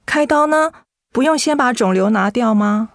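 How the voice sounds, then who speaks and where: Actress as a high-voiced, caring young daughter. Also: female voice, lively intonation, moderate pace, dry recording, studio quality, lightly acted. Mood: worried, earnest. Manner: earnest, solicitous. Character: caring, anxious young daughter of ailing mother. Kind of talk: Mandarin radio drama acting.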